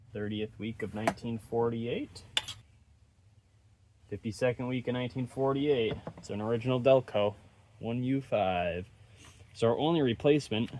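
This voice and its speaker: A man's voice: fairly low pitch, a little mellow, slight background noise. A man giving casual, hands-on repair commentary as a host, musing aloud in a laid-back way. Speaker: host of an electronics repair channel.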